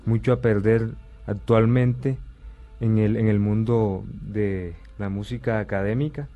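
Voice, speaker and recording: A man, explaining things in a measured, slightly hesitant way as an interview guest. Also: on the low side, fairly even intonation, phone-quality sound, faint music behind. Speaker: orchestral viola player, interview guest.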